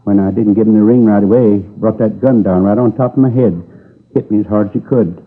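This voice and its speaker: An actor playing a shaken middle-aged robbery victim in a 1950s radio police drama, plaintive and husky. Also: male voice, on the low side, fairly quick, lightly acted. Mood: pleading. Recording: tinny old radio broadcast.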